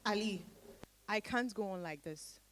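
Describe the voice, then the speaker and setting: A woman's voice: mid-range voice, unhurried, no room sound, close to an everyday voice. A woman playing an emotional, frustrated married woman in a dramatized reading, speaking expressively and emphatically. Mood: angry, fed up. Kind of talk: dramatized stage-style reading.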